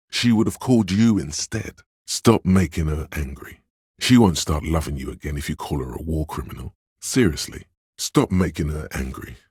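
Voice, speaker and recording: A very deep, heavily rasping inner voice from a narrative video game. It coaxes and advises with measured, deliberate drama, intimate and insinuating, in a dry, close sound. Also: male voice, a little mellow, no echo, full character voice. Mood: urgent, advising. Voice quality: deep, gravelly, husky. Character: smooth, insinuating inner voice of social intuition, coaxing, intimate. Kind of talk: scripted game narration as an inner voice giving social advice.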